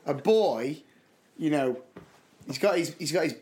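A young man with a slightly hoarse voice, co-hosting a podcast and telling stories in casual chat, laughing often. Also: fairly high pitch, expressive, slow pace, darkish tone, a little hiss or hum. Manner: chatty storyteller, laughs often. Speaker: podcast co-host.

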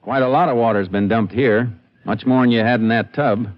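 An actor playing a calm, probing Texas Ranger in terse, measured 1950s radio western style, on a scratchy old recording. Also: male voice, mid-range voice, lo-fi sound, close to an everyday voice. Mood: watchful.

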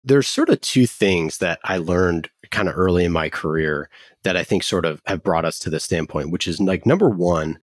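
Deep, husky-voiced man in casual interview. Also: moderate pace, dry recording, clean studio sound. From United States, California. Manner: informal, anecdotal, peppered with "like". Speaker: podcast guest, company founder. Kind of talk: casual business interview talk.

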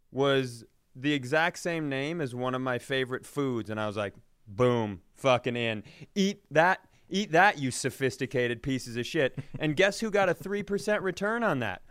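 Dude bantering on a comedy podcast, really clear voice, no room echo, cussy and self-mocking. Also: male voice, medium pitch, fairly bright tone, clean studio sound. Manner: profane, joking, self-mocking. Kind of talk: casual comic banter.

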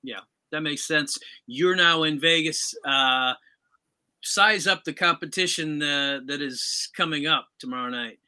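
A man with a fairly high, very bright and forceful voice hosts a sports talk show. He puts questions to his guest in a conversational way. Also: unhurried, clean tone. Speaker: sports show host, interviewer. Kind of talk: conversational interviewing.

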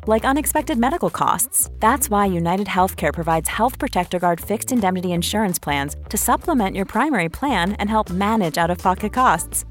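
Female insurance ad announcer. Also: mid-range voice. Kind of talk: scripted advertisement read.

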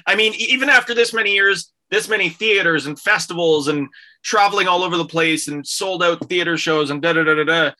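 A man hosting a relaxed talk with touring comedians, his voice slightly high and very bright. He asks long, rambling questions padded with fillers, sounding keen on the topic. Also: brisk. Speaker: podcast host interviewing comedians. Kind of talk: casual interview chat.

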